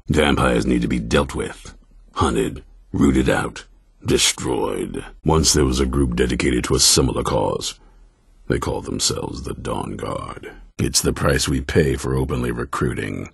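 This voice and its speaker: An older man playing a grim, ruthless vampire hunter commander in a video game, stern and blunt, with a very deep, gravelly, raspy voice. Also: dry recording, clean studio sound, exaggerated voice. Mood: grim, bitter. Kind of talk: video game character dialogue.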